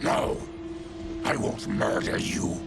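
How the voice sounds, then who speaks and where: An actor playing a gruff, honourable monster warrior in a video game, with a growling rasp and a terse, solemn way of speaking. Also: male voice, lowish voice, wildly swooping pitch, takes it slowly, heavily acted. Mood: grave, merciful. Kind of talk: video game cutscene acting. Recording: loud music behind.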